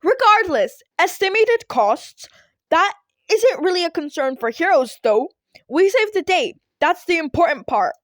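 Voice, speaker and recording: A woman voicing an excitable, self-important male hero, blustering and incredulous, in a story reading. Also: very high-pitched, a little slow, no room sound, clean studio sound, pitched above the performer's own voice, full character voice.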